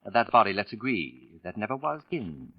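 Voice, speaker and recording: An actor playing a suave, condescending writer and sleuth in a 1940s radio drama, speaking with pompous, theatrical precision. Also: male voice, lowish voice, big pitch swings, normal speed, no room sound. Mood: urbane. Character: suave, condescending male writer turned shrewd accusing sleuth. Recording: dull vintage radio recording.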